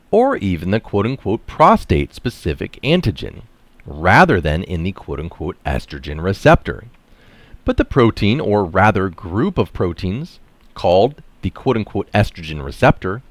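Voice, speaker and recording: A middle-aged man reading an article aloud with deliberate, emphatic phrasing and stressed key terms. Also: low voice, no echo. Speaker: reader of articles. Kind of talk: reading an article aloud with brief casual asides. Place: small room indoors.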